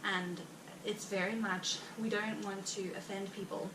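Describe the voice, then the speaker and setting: A chatty woman with a South African Afrikaans accent talks loosely to her viewers, heavily pregnant and full of fillers and little laughs. She sits well back from the mic in a roomy space, and a steady noise runs beneath her voice. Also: medium pitch, far from the mic. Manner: chatty and rambling, with frequent fillers and quick laughs. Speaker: vlogger. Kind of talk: casual chat to viewers.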